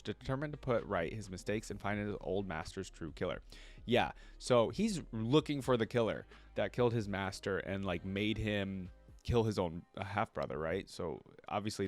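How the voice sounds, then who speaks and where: A man hosting a reaction video, reading story text aloud and adding casual, exclamatory commentary. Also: fairly low pitch. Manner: informal, exclamatory asides.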